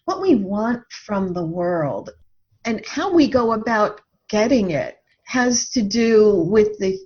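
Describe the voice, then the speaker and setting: A woman's voice: medium pitch, lively intonation, slow pace, a little mellow. A middle-aged woman talking reflectively as an interview guest, earnest and thoughtful, telling anecdotes from experience. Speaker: podcast guest, life coach. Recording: dull lo-fi audio.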